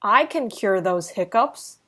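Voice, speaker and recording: A woman's voice: mid-range voice, animated, takes it slowly, bright, forceful tone, full character voice. A woman voicing a helpful, confident chimp in a children's storybook, emphatic in delivery.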